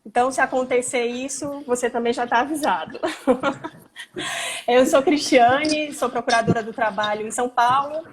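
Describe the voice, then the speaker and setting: A woman's voice: high voice, steady tone, normal speed. A woman from Fortaleza with a Northeastern Brazilian accent, hosting a casual live stream on her phone with many chatty asides. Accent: Northeastern Brazilian (Ceará). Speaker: host, labor prosecutor.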